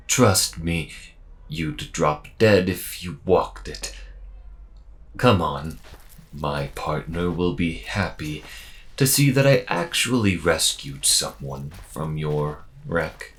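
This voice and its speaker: A man playing a friendly, calm robot mechanic with dry humour and a measured, gently wry delivery in an audio roleplay. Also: low-pitched, exaggerated voice. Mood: calm, reassuring. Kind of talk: slow intimate audio roleplay acting.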